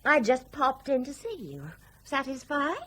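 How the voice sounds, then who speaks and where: An actress playing a defensive, exasperated, slightly tipsy grown daughter in a radio drama. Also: female voice, sits high, sing-song, measured pace, fairly bright tone, slight background noise, exaggerated voice. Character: defensive, tipsy married daughter, former air hostess.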